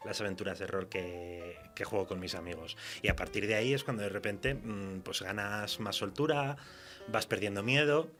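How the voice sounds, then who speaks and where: A man's voice: fairly low pitch, moderate pace, a bit bright, faint music behind. A man with a Spanish accent from Spain, answering informally as a writer in a radio interview, telling anecdotes in a chatty, colloquial way. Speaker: interview guest, fiction writer.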